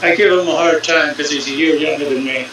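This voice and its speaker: A man's voice: on the high side, fast talker, a bit bright, heavy reverb, far from the mic, a little hiss or hum, rough recording. A man in his sixties from California, chatting casually about cycling and skiing with dry, self-mocking humor. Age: 60s. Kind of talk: casual chat.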